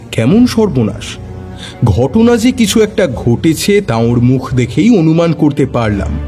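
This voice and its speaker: A man narrating a detective story with expressive, suspenseful delivery. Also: a little high.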